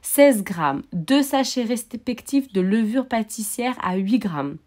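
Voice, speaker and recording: A woman's voice: a little high, lively intonation, slow, a little mellow. A woman hosting a home cooking video, talking casually to viewers in a chatty, repetitive way, posing questions and answering them herself. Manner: chatty, repeats herself, asks and answers her own questions. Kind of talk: casual recipe narration addressed to viewers.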